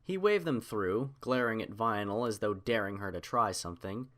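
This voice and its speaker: A man's voice: on the low side, expressive, moderate pace, a bit bright. A man reading scripted fiction aloud as the narrator of a story.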